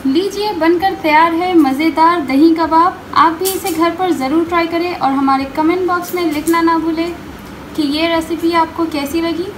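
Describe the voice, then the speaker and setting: A woman host giving calm step-by-step recipe instructions in a voice-over, mixing in English cooking words. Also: very high voice, moderate pace, a little hiss or hum, poor audio. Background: background music.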